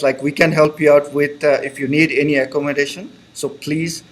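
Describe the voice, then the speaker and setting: Guy advising new intl students, kinda high voice, friendly, repeats himself a lot. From Bangladesh. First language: Bengali. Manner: friendly, repeats reassurances, loose grammar. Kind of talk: live online information session.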